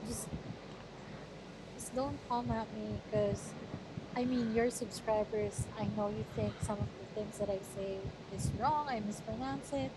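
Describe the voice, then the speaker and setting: A woman's voice: a little high, steady tone, unhurried, heavy background noise. Filipino woman with a Tagalog accent. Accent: Batangas Tagalog. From Philippines. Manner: chatty, explains at length. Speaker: girlfriend on a call. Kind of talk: casual chat.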